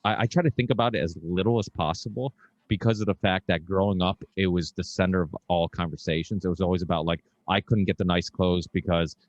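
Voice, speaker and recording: A man from Portugal hosting a podcast, chatting casually with lots of restarts and animated asides. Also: deep voice, fairly quick, no echo, muffled recording. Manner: talkative, animated storytelling with frequent restarts. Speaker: podcast host. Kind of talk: casual conversation.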